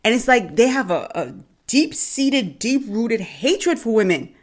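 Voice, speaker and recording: A Bahamian woman hosting a podcast, reacting to a clip with animated, drawn-out emphasis. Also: a little high. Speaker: podcast host.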